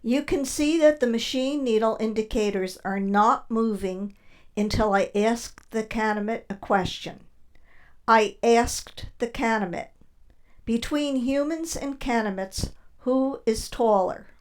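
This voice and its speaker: A clinical scientist with a fairly high voice, acted by a woman in a radio play. She explains each step in precise, formal terms. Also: a little slow, natural voice. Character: clinical female scientist conducting a formal test. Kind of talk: community radio theater acting.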